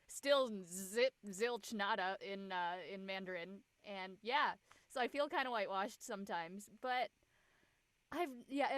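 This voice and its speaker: A woman's voice: mid-range voice, dry recording. A young woman from the United States chatting casually as a panelist in a group discussion. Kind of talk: casual chat.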